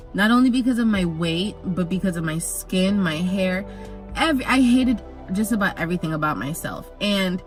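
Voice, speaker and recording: A young woman who grew up in Jamaica, telling a personal story in a chatty, animated vlog with jokey asides. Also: medium pitch. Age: young adult. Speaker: vlogger.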